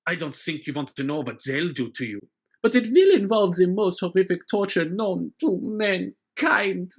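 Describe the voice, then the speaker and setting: A man's voice: high-pitched, lively intonation, normal speed, husky, voice pushed up, heavily acted. A man playing a gloating, pompous villain with a menacing, melodramatic delivery in a stage musical reading.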